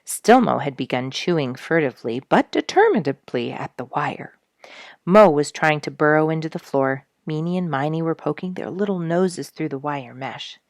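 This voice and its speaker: A woman narrating an animal story as an audiobook, expressively, with dramatic delivery that dips into hushed tones. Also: low-pitched, expressive, moderate pace, no room sound, studio quality. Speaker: storyteller, show regular.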